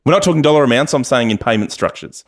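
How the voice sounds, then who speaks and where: A man's voice: medium pitch, animated, quick pace. A man hosting a radio chat, interviewing guests in a conversational style. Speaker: radio show host.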